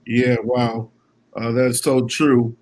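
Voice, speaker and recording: A man's voice: mid-range voice, flat delivery, slow, darkish tone, poor audio. A man hosting an interview, asking questions thoughtfully with many filler words. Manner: thoughtful, many ums and short affirmations. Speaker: interview host. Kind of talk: casual interview conversation.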